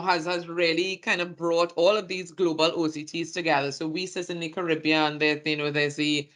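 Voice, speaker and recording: A speaker from Trinidad and Tobago with a Trinidadian accent, giving a workshop presentation in short, halting phrases. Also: mid-range voice, fairly bright tone. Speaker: communications presenter.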